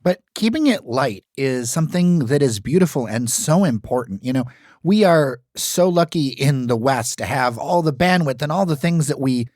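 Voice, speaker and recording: Podcast host: a mid-pitched man, earnest and emphatic, with a dry sound. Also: expressive, normal speed, a little mellow, no room sound. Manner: earnest, emphatic, talks in long run-on sentences. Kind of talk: casual interview talk.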